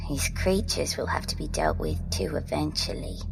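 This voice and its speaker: A woman acting a composed, determined, centuries-old guide in an audio drama, deliberate and at times whispery. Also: very deep voice, huge pitch swings, creaky, heavily acted. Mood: grave. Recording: long cavernous reverb, music bed.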